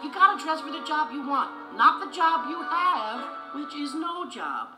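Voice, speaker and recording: A man's voice: very high-pitched, very animated, moderate pace, roomy sound, music in the background, poor audio. A man voicing a sly, wisecracking young boy duck in a cartoon audiobook. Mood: smug, teasing. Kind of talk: animated cartoon voice acting in children's audiobook.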